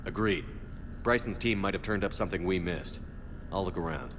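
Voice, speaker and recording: Man playing a low-voiced, terse military commander. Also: moderate pace, noisy background, natural voice. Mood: serious, focused. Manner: terse, decisive, serious. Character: resolute male military commander in a space adventure. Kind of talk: video game cutscene dialogue. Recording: long reverb, game music behind.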